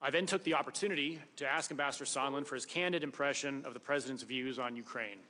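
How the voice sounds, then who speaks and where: A man's voice: medium pitch, fast talker, pushed and bright, a little hiss or hum. A man reading prepared testimony as a witness in a formal, precise manner. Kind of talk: prepared testimony read aloud. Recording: reverberant hearing room.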